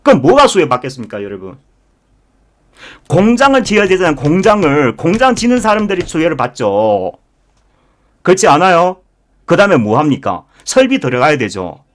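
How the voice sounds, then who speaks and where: A man's voice: fairly high pitch, wildly swooping pitch, normal speed, a little hiss or hum. A man lecturing live as a stock investment instructor, emphatic, often addressing listeners directly with rhetorical questions. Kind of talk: live market lecture with membership pitch.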